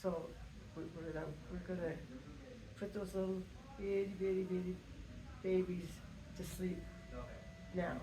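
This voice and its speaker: A woman's voice: fairly low pitch, little pitch movement. Older woman reminiscing in an echoey room. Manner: rambling, folksy, halting phrasing. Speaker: grandmother telling family stories. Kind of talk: casual storytelling reminiscence. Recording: roomy echo with faint background noise.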